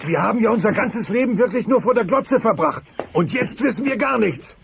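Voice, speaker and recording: Blustering high-pitched dinosaur dad. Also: male voice, lively intonation, brisk, creaky, a little hiss or hum, heavily acted. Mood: dismayed. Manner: pompous, mock-logical lecturing. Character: blustering dinosaur family father, dim but cocksure. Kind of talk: German-dubbed TV comedy audio play. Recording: dull old cassette with loud music.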